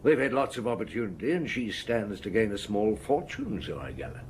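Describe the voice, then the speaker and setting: An actor playing a shrewd, unhurried chief inspector in an audio drama, measured, probing and dryly courteous. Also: male voice, on the low side, big pitch swings, slight background noise. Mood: thoughtful.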